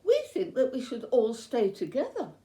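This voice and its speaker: A woman's voice: a little high, wildly swooping pitch, moderate pace, warm tone, voice pushed up. A woman voicing two devoted young daughters-in-law pleading affectionately in a children's storybook tale, earnest and emphatic. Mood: loving, pleading. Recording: roomy, echoey sound.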